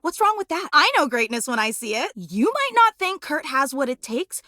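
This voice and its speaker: A woman voicing a bubbly, devoted superfan in a video game, with an excitable, dramatic, slangy way of gushing. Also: sits high, normal speed, no room sound, clean studio sound, full character voice. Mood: cheering, supportive. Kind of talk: video game character voice acting.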